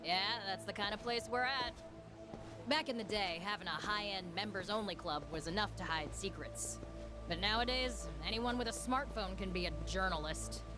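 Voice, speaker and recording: A woman's voice: medium pitch, moderate pace, over music, lightly acted. An actress voicing a cheeky, upbeat, streetwise young woman fixer in a video game, chatty and slangy. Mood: matter-of-fact, explaining. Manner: slangy, breezy, expressive. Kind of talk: English video game voice acting.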